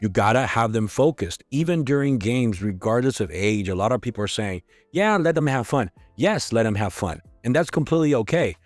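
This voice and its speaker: A middle-aged man with a hoarse voice hosting a podcast, talking frankly in a casual, conversational way. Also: medium pitch, expressive, brisk, hardly any pauses, no echo, faint music behind. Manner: frank, conversational, sprinkled with swearing. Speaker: podcast host. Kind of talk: casual solo podcast talk.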